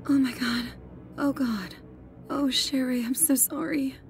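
A woman's voice: high voice, steady tone, unhurried, vocal fry, faint music behind. A woman voicing a resolute but anxious young woman's inner thoughts in a dramatised audiobook, urgent and self-questioning. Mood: distraught.

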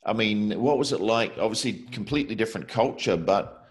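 Deep-voiced man hosting a call. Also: animated, fairly quick. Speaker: interview host. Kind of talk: video call interview. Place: room by a window. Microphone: laptop webcam on video call.

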